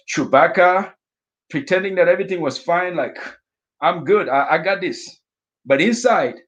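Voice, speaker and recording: A middle-aged man from Africa telling a vivid personal story as a guest speaker, acting out his own inner thoughts in lively asides. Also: fairly high pitch. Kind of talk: storytelling talk.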